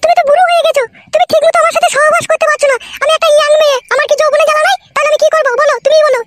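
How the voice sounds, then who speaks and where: A woman voicing an aggrieved, whining young village wife in a comedy cartoon, with a pitched-up voice. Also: level tone, very fast. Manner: shrill, protesting, pleading.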